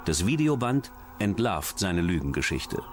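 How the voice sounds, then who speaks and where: A man's voice: low voice, very animated, measured pace, noisy background. A man reading scripted true-crime documentary narration as the narrator. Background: background music.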